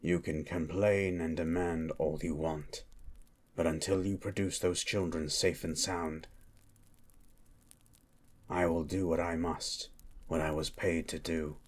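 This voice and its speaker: Man playing a very deep, husky monster hunter. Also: steady tone. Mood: cold threat. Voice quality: husky, very low. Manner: slow, deliberate, terse, coldly interrogating. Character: grim, menacing male monster hunter for hire, calmly threatening. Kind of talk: improvised ASMR fantasy roleplay.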